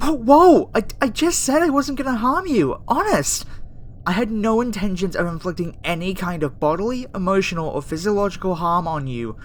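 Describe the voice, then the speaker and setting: Woman acting a flustered, gentle alien scientist, faint noise behind. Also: lowish voice. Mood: earnest, calming. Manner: hesitant, stammering, soothing. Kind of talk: ASMR roleplay audio acting. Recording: faint background noise under the voice.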